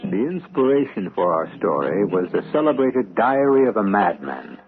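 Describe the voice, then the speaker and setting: A man with a deep, animated voice hosts a vintage radio mystery. His scripted narration is measured and theatrical. Music plays behind him on a muffled old broadcast. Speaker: host of radio mystery series.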